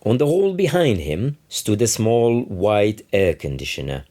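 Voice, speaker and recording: A man reading literary fiction aloud as its author, with measured, deliberate phrasing. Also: fairly low pitch, sing-song, mellow. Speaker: author reading own novel. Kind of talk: literary audiobook narration.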